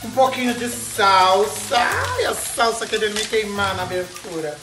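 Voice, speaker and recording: A woman's voice: medium pitch, sing-song, unhurried. A middle-aged woman with a Brazilian Portuguese accent hosts a casual cooking demonstration in her home kitchen. She talks in a flamboyant, campy way, full of slang and playful exclamations. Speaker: cooking show host. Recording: echoey kitchen with music behind.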